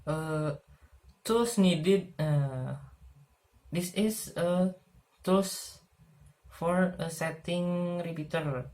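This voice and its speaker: A woman presenting a technical project from her slides in halting, non-native English, with many pauses and fillers. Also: lowish voice, a little mellow.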